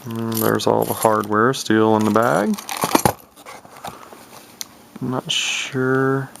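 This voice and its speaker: A deep-voiced man in his thirties talks off the cuff as he shows something to a handheld camera. His voice has a gravelly fry, and he rambles in a folksy way, thinking aloud. There is faint noise in the homemade recording. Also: fairly even intonation, takes it slowly. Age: 30s. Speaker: vintage CB radio collector showing an unboxing. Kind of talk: casual unscripted product commentary. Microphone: handheld camera's built-in microphone.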